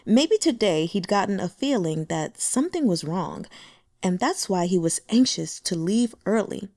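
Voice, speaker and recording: A woman narrating a translated fantasy novel with expressive storytelling. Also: moderate pace, dry recording, studio quality. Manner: expressive storytelling, pitch moving with the scene. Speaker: audiobook narrator.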